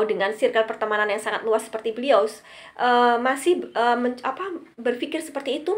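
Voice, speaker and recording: A middle-aged woman giving an opinion monologue to online viewers, emphatic and fond of posing questions and answering them herself. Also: fairly high pitch. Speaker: vlog host giving opinion commentary.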